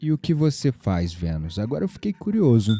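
A man's voice: medium pitch, huge pitch swings, fairly quick, warm tone, no room sound. A man playing a gallant, friendly young Brazilian neighbour in an audio drama, polite and charming.

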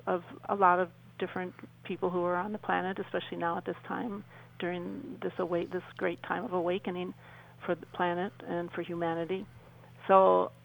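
A woman guest on a radio interview with a noticeably creaky voice. Her pitch stays fairly level as she gives earnest, reflective answers. The delivery is conversational and a little halting. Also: rough recording.

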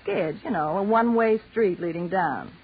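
An actress playing a brazen, scheming, fading American film star in a 1940s radio drama, slangy and mocking. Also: female voice, medium pitch, animated, normal speed, slight background noise, lightly acted. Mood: cynical, brazen. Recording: muffled 1940s radio recording.

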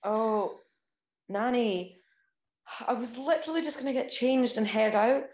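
An actress playing an earnest, secretive eighteen-year-old woman, speaking quietly and hesitantly in a naturalistic audio play. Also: fairly high pitch, a little slow, echoey room. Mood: reluctant, hesitant. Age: young adult.

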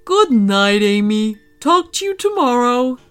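A woman voicing a cheerful, playful little boy dinosaur in a storybook reading, talking in an excitable, bubbly way. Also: a little high, a little slow, smooth, faint music behind. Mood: sleepy. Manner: bubbly, excitable, stammers when upset.

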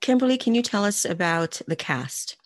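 A woman's voice: mid-range voice, big pitch swings, moderate pace, no room sound. A woman hosting a conversational interview and putting questions to her guests. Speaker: interviewer, host.

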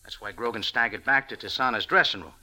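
A man's voice: on the low side, fast talker, sharp tone. An actor playing a wry, laconic, hard-boiled cafe owner and sleuth in a 1940s radio drama, telling his story in colloquial style. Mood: matter-of-fact. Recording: old radio recording with music.

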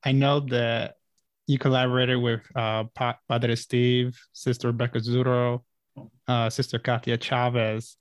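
A man's voice: medium pitch, level tone, unhurried, dry recording. A man interviewing a guest, asking curious questions.

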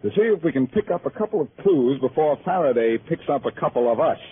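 A man's voice: a little high, lively intonation, quick pace, slight background noise, natural voice. A man playing a breezy, glib amateur detective with dry quips in a 1940s radio drama. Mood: wry. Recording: tinny old radio recording, music behind.

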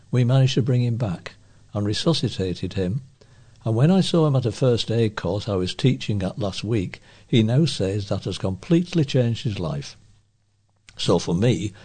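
A man reads local news from a script as a volunteer newsreader. His voice is husky and sits a little low. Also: a little mellow.

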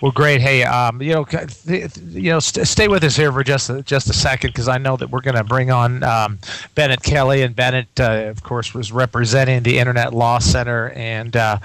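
A man hosting a radio talk show, chatting in a relaxed way with frequent restarts. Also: mid-range voice, normal speed, creaky, a little hiss or hum. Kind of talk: casual radio chat.

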